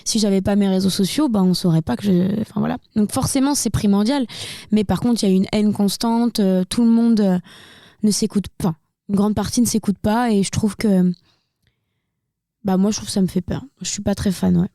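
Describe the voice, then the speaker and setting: A young woman with a slight Burgundy lilt, talking candidly and at length as an interview guest. Her voice is mellow and dark-toned, recorded close and dry with no room sound. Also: mid-range voice, steady tone, a little fast, gravelly, dry recording. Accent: slight Burgundy French. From Burgundy, France. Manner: candid, talkative, many fillers and restarts. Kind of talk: casual interview chat.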